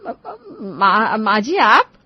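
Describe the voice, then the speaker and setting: Woman putting on a highish-voiced fussy mother-in-law. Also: sing-song, extremely slow, slightly rough, no room sound, muffled recording, heavily acted. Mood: meek, dutiful. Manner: fussy, scolding. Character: nagging middle-aged mother-in-law, meek before her elders. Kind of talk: acted dialogue in a cartoon story.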